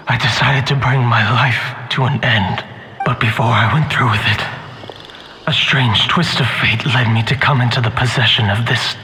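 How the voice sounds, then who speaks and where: An actor playing a grave, despairing male scholar in a game, speaking in a formal, measured, grandiloquent way. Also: medium pitch, sharp tone, a little hiss or hum, natural voice, poor audio. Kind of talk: dramatic game voice acting. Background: faint background music.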